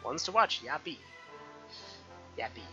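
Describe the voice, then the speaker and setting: A man's voice: fairly high pitch, big pitch swings, a little slow, dull audio. A man giving casual let's play commentary with wry, joking asides. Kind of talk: casual gameplay commentary, reading dialogue aloud. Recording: loud game music behind voice. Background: loud game music.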